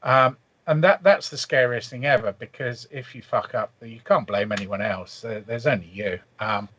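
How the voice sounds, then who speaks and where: A man chatting as a guest on a podcast, telling stories in a relaxed way with many fillers. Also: mid-range voice, moderate pace. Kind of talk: casual panel chat. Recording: dull dry remote audio.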